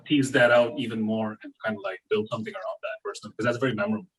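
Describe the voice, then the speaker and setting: A man judging a comedy competition, giving thoughtful, halting feedback over a video call. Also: on the low side, steady tone, a crowd in the background, rough recording. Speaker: competition judge.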